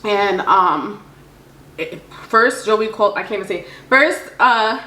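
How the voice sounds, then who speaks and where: A woman vlogger telling stories in a casual, slangy, animated way, often acting out what people said in dialogue. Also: medium pitch, slow pace. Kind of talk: casual storytime chat. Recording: roomy echo.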